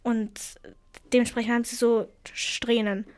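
A woman's voice: a little high, level tone, unhurried, darkish tone. A teenage girl from Afghanistan, co-hosting a casual chat and telling stories in an earnest, indignant way. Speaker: co-host.

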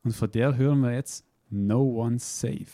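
A man's voice: medium pitch, a little slow, mellow, dry recording, clean studio sound. A man hosting a radio show in a chatty, playful way. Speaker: radio host. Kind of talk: casual radio chat.

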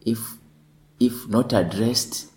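A lawyer in his thirties answering interview questions deliberately, often repeating words as he searches for them. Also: on the low side, darkish tone. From northwestern Tanzania.

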